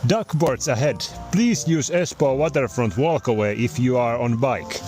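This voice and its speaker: A man's voice: medium pitch, normal speed, darkish tone, soft background music. A man with a Finnish accent vlogging casually outdoors in English, playful and self-mocking, laughing often. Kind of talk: casual vlog chat. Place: outdoors by the water.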